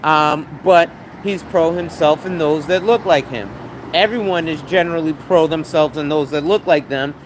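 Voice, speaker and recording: A man's voice: on the high side, moderate pace. A man, streaming live on a city street and talking in a blunt, slangy, profane, rambling way. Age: 40s. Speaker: financial advisor, live streamer. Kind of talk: casual live-stream talk. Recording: street noise around.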